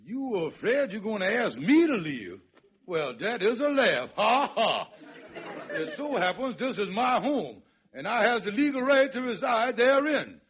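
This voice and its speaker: An actor playing a pompous, blustering henpecked husband in a 1950s radio sitcom, indignantly mangling big words. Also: male voice, high voice, sing-song, a little slow, fairly bright tone, some chatter behind, full character voice. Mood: indignant, mocking. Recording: vintage radio, faint music.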